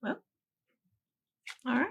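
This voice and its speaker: A woman's voice: takes it slowly, a bit bright. A woman co-hosting a casual podcast, chiming in with short, dry, playful reactions. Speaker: podcast co-host.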